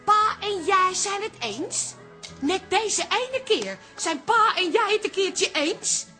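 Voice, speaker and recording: An actress playing an exasperated, sharp-tongued daughter working in a pub, snappy and sardonic, in a radio comedy-drama. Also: female voice, very high-pitched, animated, slow, soft background music, full character voice. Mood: overwrought.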